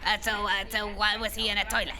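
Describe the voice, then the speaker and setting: A woman's voice: mid-range voice, flat delivery, fast talker, a crowd in the background, close to an everyday voice. Blunt clawed creature host, acted by a woman. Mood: curious, blunt. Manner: blunt, clipped broken English. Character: gruff creature talk show host with claws, broken English. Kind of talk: comedy audio drama acting.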